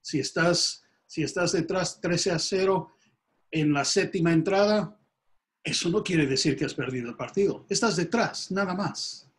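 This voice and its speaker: An older man from Peru with a Peruvian Spanish accent, a softball coach speaking steadily on a video call from a small room at home. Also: high voice, a little slow. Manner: steady, grows animated when making a baseball comparison. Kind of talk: interview over video call.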